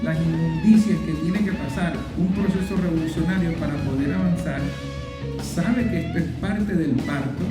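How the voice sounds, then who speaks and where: A man delivering a political commentary monologue in an emphatic way, piling up repeated phrases in lists. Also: fairly high pitch. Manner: emphatic, repetitive, building lists. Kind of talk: impassioned political monologue to viewers. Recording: roomy echo, guitar music behind.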